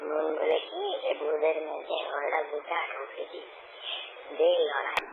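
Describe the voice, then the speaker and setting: A man's voice: on the high side, level tone, a little slow, vocal fry, a crowd in the background. A man chatting casually and intimately on a phone call, often repeating his own phrases. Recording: noisy, narrow phone call recording.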